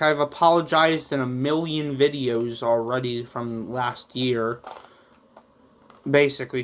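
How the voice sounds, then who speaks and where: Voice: mid-range voice, slight background noise. A vlog host who makes manga, talking casually and in a rambling way to viewers, with theatrical touches. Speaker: YouTube host and manga creator.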